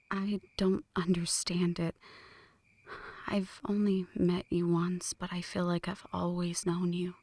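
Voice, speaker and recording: A woman playing an ancient, lovestruck vampire in a slow, breathy whispered ASMR roleplay, intimate and solemn, close to the microphone. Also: fairly low pitch, a little mellow, no room sound. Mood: tender, yearning. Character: ancient flirty female vampire, brooding, lovestruck, world-weary. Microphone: close microphone, dry.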